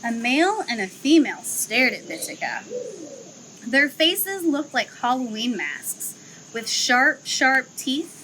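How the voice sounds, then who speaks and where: A young woman who works at a zoo reads a children's picture book aloud outdoors, in an expressive storytelling manner. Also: sits high, slight background noise, low-quality recording. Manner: slow, expressive storytelling, livelier chat afterward. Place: outdoor zoo enclosure with trees.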